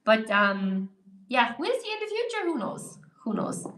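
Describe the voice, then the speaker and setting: A woman's voice: on the high side, very animated, unhurried, fairly bright tone, a little hiss or hum. Chatty woman teaching English live, echoey room. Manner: chatty, encouraging, reacts to chat while typing. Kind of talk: live-stream lesson reading viewer comments.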